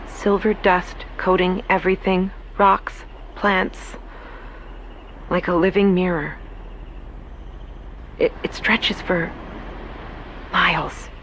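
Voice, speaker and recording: A woman's voice: lowish voice, little pitch movement, slow pace, long pauses, fairly bright tone. A woman playing a desperate explorer recording a log of a grim discovery in an audio drama. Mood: weary awe. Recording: noisy field recording, machinery-like ambience.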